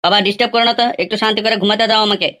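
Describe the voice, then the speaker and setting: A woman's voice: medium pitch, fairly even intonation, racing, bright, forceful tone, lightly acted. A woman voicing cheeky, brash young village lads in an amateur comedy cartoon, with slangy, crude and insistent talk. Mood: defiant.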